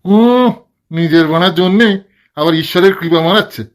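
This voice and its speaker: A man's voice: sits high, normal speed, lightly acted. A man voicing a grumpy, crude middle-aged village husband in a cartoon comedy, who swears and complains constantly. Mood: cranky.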